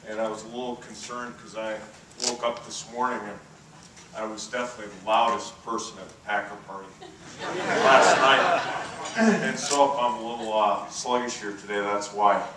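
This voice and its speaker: A middle-aged man with a booming voice giving a conference keynote, telling relaxed, self-deprecating stories with plenty of "uh" fillers. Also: moderate pace, heavy background noise. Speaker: keynote speaker. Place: large conference hall. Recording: very echoey hall, voice from far off.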